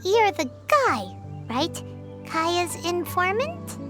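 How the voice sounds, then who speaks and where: A woman voicing a small, excitable, childlike fairy companion in a video game, chirpy, eager and easily annoyed, with a squeaky voice. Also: very slow, music in the background, full character voice. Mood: curious. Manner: exclamatory, eager. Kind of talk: video game character acting.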